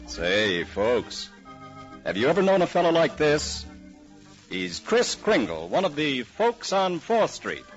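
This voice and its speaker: A man's voice: on the high side, huge pitch swings, slow, over loud music. A man narrating in a folksy, scripted 1940s radio style, addressing listeners as an announcer. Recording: muffled old radio recording.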